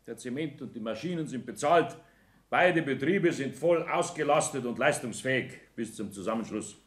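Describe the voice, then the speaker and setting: An actor playing a pompous small-town builder, giving a stiff, formal speech in a radio drama. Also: male voice, medium pitch, expressive, normal speed, lightly acted. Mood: businesslike. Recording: roomy 1960s radio studio.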